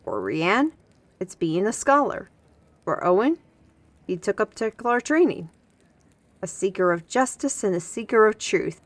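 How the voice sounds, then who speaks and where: Unhurried wry young fantasy woman, played by an actress. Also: mid-range voice, sing-song, dry recording. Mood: fond, explaining. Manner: unhurried, conversational, speaking directly to a listener. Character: young fantasy woman Vinci, wry, guarded, gently teasing. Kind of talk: scripted roleplay audio acting, ASMR.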